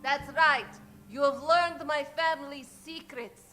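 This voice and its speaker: A woman's voice: sits high, unhurried, sharp tone, soft background music. A woman playing an alluring, sarcastic villainess who taunts and gloats in an improvised radio play. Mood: gloating, taunting. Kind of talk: improvised old-time radio acting.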